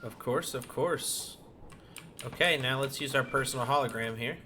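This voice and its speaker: A male gaming streamer with a medium-pitched voice chats casually through his play. He thinks aloud with playful little phrases. Game ambience and typing are clearly audible behind him. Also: moderate pace, sharp tone. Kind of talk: casual gameplay commentary. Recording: clear background game noise.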